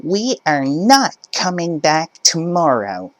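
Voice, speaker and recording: A man voicing a shrill, demanding entitled middle-aged mother who argues with a store cashier. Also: big pitch swings, slow pace, heavily acted. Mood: indignant. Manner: indignant, demanding, shouting.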